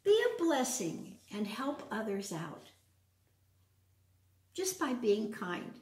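An older woman reads to small children in a fairly high voice that swings up and down. She speaks in simple phrases and often puts questions to her young listeners. The sound is roomy, as if recorded a little way across a living room. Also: big pitch swings, slow. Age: older, around 70s. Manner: simple, child-directed talk with frequent questions. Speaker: storyteller reading to children. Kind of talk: children's storytime read-aloud with chatty asides. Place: large open living room with wood floor, indoors. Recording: roomy echo, distant from the mic.

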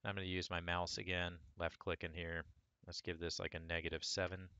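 A man with a hoarse voice from a cold, talking through a sculpting tutorial step by step in a relaxed way. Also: sits low, a little fast, no echo, muffled recording. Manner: relaxed, talks through each step as he works. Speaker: 3D sculpting instructor. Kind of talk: screen-recorded software tutorial.

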